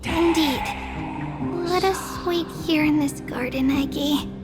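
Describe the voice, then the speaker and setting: An actress voicing a gentle, childlike female oracle in a video game, speaking in a soft, deliberate, earnest way. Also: sits high. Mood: serene. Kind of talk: scripted video game acting. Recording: music behind the voice.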